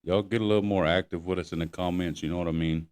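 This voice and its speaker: A man's voice: low-pitched, fairly even intonation, quick pace, darkish tone, clean tone. A man chatting casually as a podcast co-host, laid-back and full of slang. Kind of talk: casual chat.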